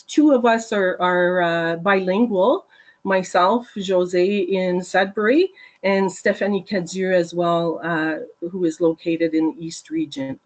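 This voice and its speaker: A woman working as a regional consultant, giving a steady, informative webinar presentation over a video call. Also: on the low side.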